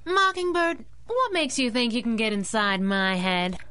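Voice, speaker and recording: A woman's voice: on the high side, normal speed, pushed and bright, clear, resonant tone. An actress playing a sassy, slangy young female masked crimefighter in a 1930s-style radio drama. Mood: tough, needling. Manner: sassy, slangy, tough-talking.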